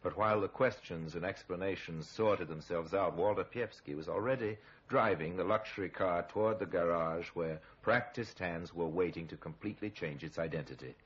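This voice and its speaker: A grave storyteller from a vintage radio crime series. The voice is very deep and rough-edged, measured and drily ironic, on a muffled old recording. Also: male voice. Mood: grave, ominous. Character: grave male narrator of a true-crime series, sardonic. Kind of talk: 1950s radio crime drama narration, dramatic.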